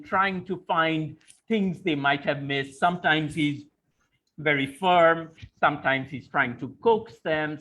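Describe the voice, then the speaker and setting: A man's voice: on the high side, sharp tone, low-quality recording. A man interviewing conversationally, thoughtfully explaining his way toward each question. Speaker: interviewer, moderator.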